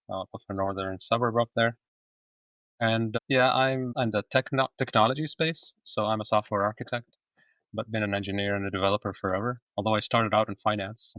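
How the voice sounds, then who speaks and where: A man in his forties, originally from Egypt, talking openly as a podcast guest, relaxed with frequent fillers. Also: on the low side, dry recording, dull audio. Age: 40s. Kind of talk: casual conversation.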